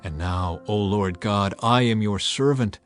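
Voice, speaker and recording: A man's voice: lowish voice, a little slow, soft background music, pitched below the performer's own voice, close to an everyday voice. A man playing a humble king who prays earnestly and declaims with reverent gratitude, in a dramatized scripture reading. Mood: earnest pleading.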